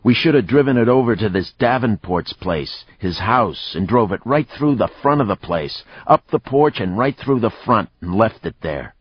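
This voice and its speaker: A man's voice: low voice, unhurried, slightly rough, dry recording, muffled recording. Man acting a menacing older outlaw, growling. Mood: gleeful. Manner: curt, threatening, growling. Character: menacing, foul-mouthed older male outlaw, violent and curt.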